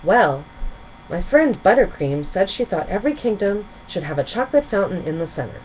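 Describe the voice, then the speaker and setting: A woman voicing a gentle, reassuring pony princess who mentors others in a storybook. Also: medium pitch, big pitch swings, fairly quick, narrow, tinny audio, slight background noise, natural voice. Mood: reminiscing.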